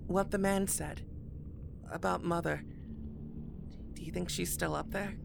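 A woman's voice: on the low side, fairly even intonation, a little slow. A woman playing an anxious, hopeful young child who misses a parent, in an audio drama. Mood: subdued. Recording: clearly noisy ambience behind voice.

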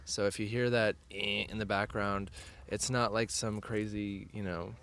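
A man's voice: fairly low pitch, steady tone, measured pace, a bit bright. A man hosting a casual interview in a vendor tent outdoors at a festival, chatting easily and explaining things to listeners. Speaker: podcast host.

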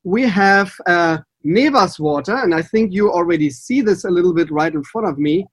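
A man's voice: high voice, lively intonation, moderate pace, a bit bright, no room sound, studio quality. A man with a German accent, a native German speaker, hosting a podcast in English as a water sommelier, upbeat and chatty. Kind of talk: casual podcast chat.

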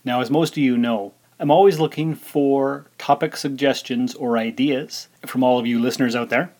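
A man's voice: mid-range voice, steady tone, normal speed, darkish tone. A man in his forties hosting a solo podcast, talking informally and thinking aloud as he rambles along. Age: 40s. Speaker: podcast host. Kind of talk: casual solo podcast monologue.

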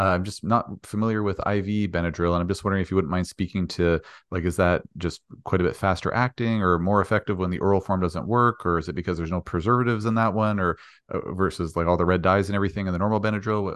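A man's voice: sits low, no echo, studio quality. A man hosting an interview, asking polite, hesitant questions full of fillers and apologies. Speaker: host, practitioner. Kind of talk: interview, casual chat.

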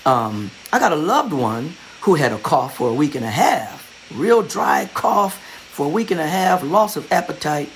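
A man's voice: fairly high pitch, big pitch swings, moderate pace, slight background noise, rough recording. A man preaching a sermon as pastor, in a repetitive, conversational style that builds its emphasis.

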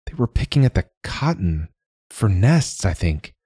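A man voicing a talkative, persistent young woman who teases and chatters quickly. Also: fairly low pitch, very animated, warm tone, husky, dry recording, clean studio sound, pitched above the performer's own voice, exaggerated voice. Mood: hushed. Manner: talkative, teasing, rapid.

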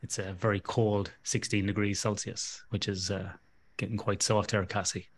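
An Irish man with a fairly low voice hosts a podcast. He chats casually with his guest, joking and teasing as he asks questions. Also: level tone, normal speed, a little hiss or hum. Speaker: podcast host. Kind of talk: casual interview chat.

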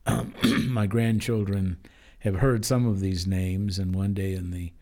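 An older man from Denver, a judge, chatting in an interview, speaking hesitantly with many pauses and fillers and frequent chuckles. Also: deep voice, little pitch movement, a little mellow. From Denver, Colorado. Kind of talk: casual interview chat.

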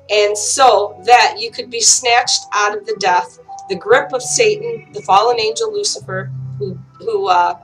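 A woman with a dry voice giving an informal online Bible teaching, halting often with fillers and restarts. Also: mid-range voice, a bit bright, rough recording. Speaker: online preacher.

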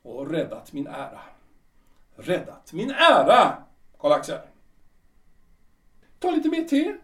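A man's voice: on the high side, wildly swooping pitch, distant mic. A man playing a proud, brooding retired official in his sixties in a radio drama, speaking theatrically and repeating himself emphatically. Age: older. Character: proud, brooding 62-year-old retired official clinging to memories.

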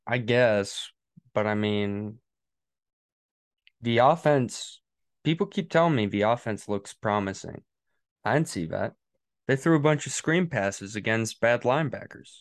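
A young man hosting a football podcast, talking in a deliberately hushed, unhurried late-night way. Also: clear, resonant tone, dry recording, clean studio sound. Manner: slow, low, hushed late-night delivery. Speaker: podcast host. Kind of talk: casual football podcast chat.

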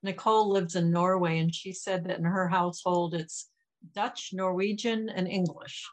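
Low-voiced woman podcast host. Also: steady tone, moderate pace, slight background noise. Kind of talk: casual interview chat.

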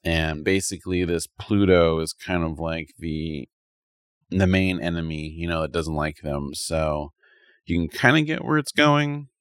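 Low-voiced man chatting, hesitant and rambling. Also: measured pace, clear, resonant tone, no room sound, clean studio sound. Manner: hesitant, rambling, thinking aloud. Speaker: podcast co-host. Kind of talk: casual chat, reviewing anime.

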